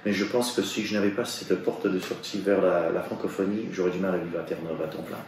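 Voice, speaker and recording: A man from Newfoundland, a native English speaker, answering an interview in a thoughtful, halting way. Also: deep voice, fairly even intonation, noisy background. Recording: very echoey, distant mic.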